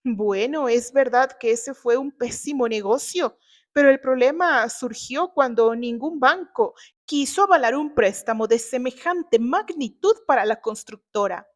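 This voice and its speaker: A woman performs a middle-aged wife and mother in an audio novel. Her voice is high, and she is defensive and desperate, pleading and justifying herself with hesitations. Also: unhurried.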